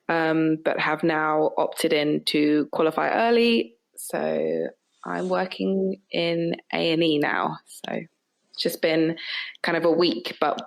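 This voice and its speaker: Southern English young woman, creaky voice. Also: deep voice, low-quality recording. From south of England. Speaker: podcast guest, newly qualifying nurse. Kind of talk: casual reflective interview talk.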